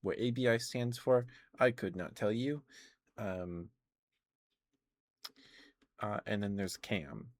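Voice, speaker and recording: Deep-voiced man in casual ASMR chat. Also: slow, pauses often, no room sound. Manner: rambling, hesitant, trailing off and self-correcting. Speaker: live streamer hosting an ASMR stream. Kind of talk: casual ASMR chat about video games.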